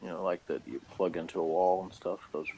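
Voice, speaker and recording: A man's voice: deep voice, little pitch movement, brisk, gravelly, dark tone. An American man chatting casually as a co-host of a review show, with a laid-back, dry, blunt manner. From United States. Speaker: podcast co-host reviewing a TV episode. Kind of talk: casual chat.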